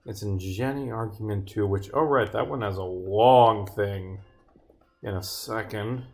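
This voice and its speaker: A man's voice: lowish voice, unhurried. A man livestreaming casual commentary as he debugs his game, muttering to himself, exclaiming and swearing. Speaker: game developer streaming. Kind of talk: casual livestream commentary while bugfixing, reading dialogue aloud. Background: game music.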